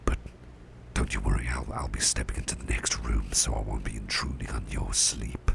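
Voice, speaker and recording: A man playing a caring, gentle boss in an ASMR roleplay, murmuring tender reassurance in breathy whispers with a gravelly rumble. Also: fairly even intonation, moderate pace, heavily acted. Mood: tender, reassuring. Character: caring, gentle male boss soothing a cursed employee.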